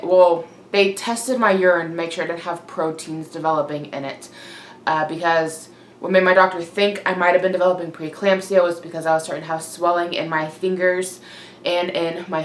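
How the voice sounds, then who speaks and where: A young woman vlogging in a casual, chatty way, slightly congested, breathy and winded from a cold. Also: on the low side, moderate pace. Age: young adult. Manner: informal, chatty. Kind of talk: casual chat to camera. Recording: roomy echo, clear background noise.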